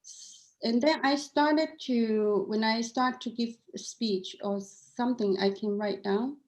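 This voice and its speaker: Woman w/ cantonese accent, kinda high voice, earnest, restarts a lot. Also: a little slow, rough recording. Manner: earnest and candid, frequent restarts and repeated words. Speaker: guest. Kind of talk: interview conversation.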